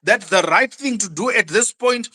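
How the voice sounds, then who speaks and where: A bright, forceful voice lays out political analysis with emphasis. The speaker often stumbles over repeated words before pressing on to the point. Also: medium pitch, lively intonation, moderate pace. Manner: emphatic, repeats words when starting sentences. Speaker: political commentator. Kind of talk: political analysis on an online news show.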